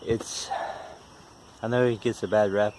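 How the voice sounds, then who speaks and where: A man in his forties with a stutter talks casually and haltingly in a folksy, self-deprecating vlog. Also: fairly low pitch, steady tone, darkish tone, slight background noise. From West Virginia, United States. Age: 40s. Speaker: vlogger, amateur car mechanic. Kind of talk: casual unscripted vlog talk.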